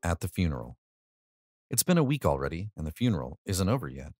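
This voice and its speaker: A man's voice: low-pitched, big pitch swings, quick pace, darkish tone, breathy edge, no echo, clean studio sound. A man narrating a scripted short story as an audio reading.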